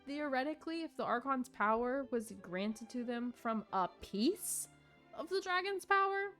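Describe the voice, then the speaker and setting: A woman's voice: high voice, animated, moderate pace, a bit bright, soft background music. A woman giving casual, opinionated live commentary as a game streamer, talking in slang.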